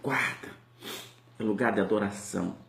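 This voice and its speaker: A man's voice: on the low side, moderate pace, creaky, a bit bright, a little hiss or hum. Male preacher, Brazilian Portuguese accent, fervent swelling delivery, echoey church sound. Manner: impassioned, rising and falling preaching. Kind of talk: sermon.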